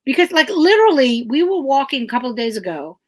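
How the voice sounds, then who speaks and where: A middle-aged woman comedian with a loud voice, ranting emphatically and swearing freely in casual chat. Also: high voice, very animated, fast. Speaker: comedian guest.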